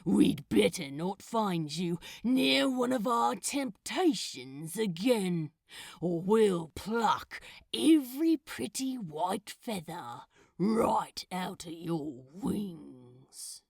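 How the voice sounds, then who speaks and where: A woman's voice: mid-range voice, big pitch swings, gravelly, darkish tone, breathy edge, no room sound, clean studio sound, exaggerated voice. A woman voicing a male demon bully in a storybook reading, drawling slangy threats with a sneer. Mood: threatening. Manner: slow, drawn-out, threatening, slangy.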